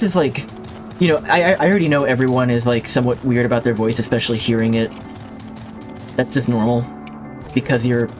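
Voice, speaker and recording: Rambling man chatting on a game stream. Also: medium pitch. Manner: rambling and reflective, with many restarts. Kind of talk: casual chat while livestreaming a game. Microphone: streaming mic with a limiter.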